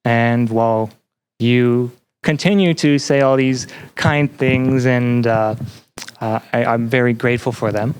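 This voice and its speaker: A man's voice: mid-range voice, fairly even intonation, measured pace, clean tone. A young man chatting as a podcast guest, reflective and self-deprecating, often feeling his way through a thought. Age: young adult. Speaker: podcast guest, student representative. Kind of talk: casual interview chat.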